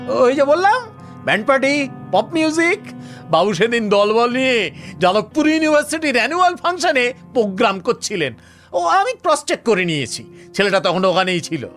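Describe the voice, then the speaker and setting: An actor playing a talkative, smug police officer in a Bengali audio story, speaking colloquially with scoffing rhetorical tags. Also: male voice, extremely high pitch, very animated, normal speed, fairly bright tone, faint music behind, exaggerated voice. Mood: matter-of-fact. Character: Anishchay, talkative cocksure male police officer, smug and sardonic.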